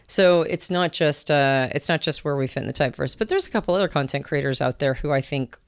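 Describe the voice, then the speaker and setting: A woman's voice: low voice, quick pace, smooth, no room sound. A woman co-hosting a podcast, talking in a reflective, searching way with many fillers and restarts. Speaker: podcast co-host. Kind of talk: casual conversation.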